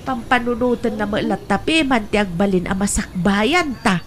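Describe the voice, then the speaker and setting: A woman's voice: mid-range voice, moderate pace, heavy background noise, close to an everyday voice. A woman playing a fretful, wistful sister in a radio drama, speaking expressively with a Filipino accent. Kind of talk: Ilocano radio drama acting.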